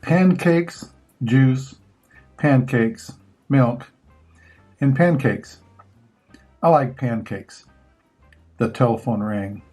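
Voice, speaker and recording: Older male storybook reader, husky, unhurried, measured phrasing for young children. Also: medium pitch, a little mellow, soft background music. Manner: measured, pausing between short phrases. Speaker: grandfather reading picture books aloud. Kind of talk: children's storybook read-aloud.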